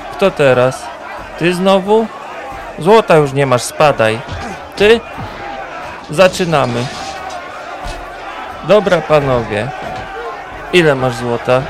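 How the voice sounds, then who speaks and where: A man playing a sly, commanding tavern gambling hustler in a fantasy audio drama, with a gravelly voice and sing-song, curt delivery. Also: fairly high pitch. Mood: teasing, brisk. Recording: noisy tavern crowd ambience.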